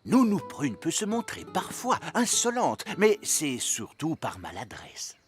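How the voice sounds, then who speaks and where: A man's voice: fairly high pitch, huge pitch swings, measured pace. Swooping, courteous cartoon king. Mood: courteous, flattering. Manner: polite, grandly diplomatic. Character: courteous fairy king, flattering and tactful. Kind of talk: animated series dub acting.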